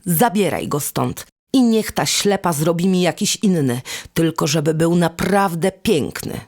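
A woman's voice: lowish voice, expressive, measured pace, a little mellow. Woman reading as a fretful, discontented storybook man. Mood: agitated, demanding. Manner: complaining, insistent. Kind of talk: storybook character voice.

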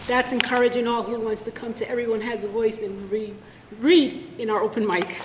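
Woman going back and forth english and spanish, high pitched, roomy hall sound, podium mic. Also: fairly even intonation, a little fast, noisy background. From United States. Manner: bilingual, switching between English and Spanish, heartfelt. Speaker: poet reading at a library event. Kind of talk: spoken introduction to a poetry reading. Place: library event room. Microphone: podium microphone. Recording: echoey room, long reverb.

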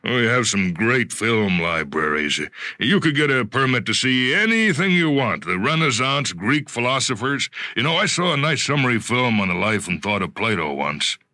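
A man's voice: mid-range voice, very animated, normal speed, sharp tone, no room sound, voice pushed up. A man playing a genial, evasive senior executive. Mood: wistful, placating.

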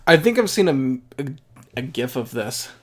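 A man's voice: medium pitch, big pitch swings, normal speed. A young man hosting a casual anime reaction, thinking aloud as he watches, with restarts and asides, recorded on a headset in a bedroom. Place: bedroom at home, indoors.

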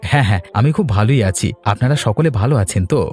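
A man's voice: lowish voice, animated, quick pace, a little mellow, soft background music, natural voice. Man playing polite, eager young cartoon son-in-law. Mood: polite, pleased. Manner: courteous, earnest. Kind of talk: Bengali cartoon dialogue dub.

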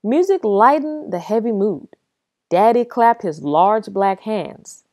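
Lively woman reading a picture book. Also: medium pitch, no room sound, studio quality. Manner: lively, expressive storytelling with dramatic pauses. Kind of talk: expressive storybook reading.

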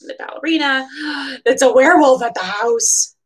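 A woman's voice: high voice, moderate pace. A woman in her twenties with an American accent, reading a children's picture book aloud expressively, with casual chatty asides. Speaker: bookseller reading at a storytime event. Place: small room at home.

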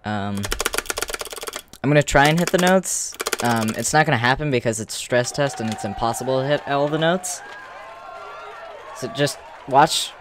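A person giving casual gameplay commentary in a halting way with false starts, in a room at home. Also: mid-range voice, background noise. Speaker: streamer demonstrating a game.

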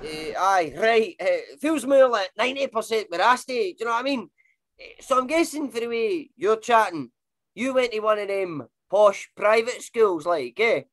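A woman's voice: mid-range voice, expressive, normal speed, natural voice. An actress playing a chatty, blunt, sweary Glaswegian woman with slangy matey talk in an audio comedy. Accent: Glaswegian Scottish.

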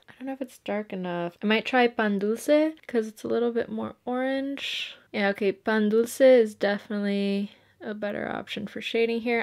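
A woman's voice: medium pitch, creaky, darkish tone. Chatty young woman narrating her makeup. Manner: chatty, explains each step as she paints. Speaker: makeup artist.

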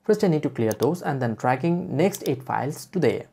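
A woman presenting a software tutorial as a step-by-step voice-over. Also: rumbling low, expressive, normal speed, vocal fry, husky. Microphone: voice-over for screen recording.

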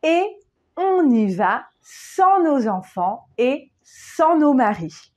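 Woman in her thirties, teacher, slow deliberate delivery, slightly high voice, lapel mic. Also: dark tone. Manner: patient, deliberate, reads model answer slowly. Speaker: French teacher. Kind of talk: slow, clear exam-prep lesson. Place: small plain indoor room.

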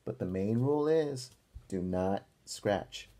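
A young man reading a picture book aloud to children as a teacher, pausing for encouraging asides and questions. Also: on the low side, expressive, warm tone, a little hiss or hum. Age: young adult. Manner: encouraging, asks listeners questions. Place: small room at home, indoors.

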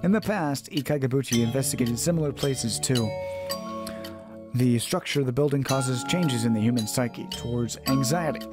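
A man's voice: mid-range voice, normal speed, music in the background. A man reading a visual novel aloud as dramatic narration, often stumbling and rereading his lines.

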